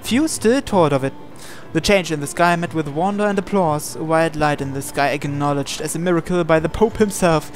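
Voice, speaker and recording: A man's voice: on the high side, moderate pace, hardly any pauses, a little hiss or hum. A man reading a visual novel aloud as narration, sometimes stumbling and repeating phrases. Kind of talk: dramatic read-aloud narration with asides. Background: game music.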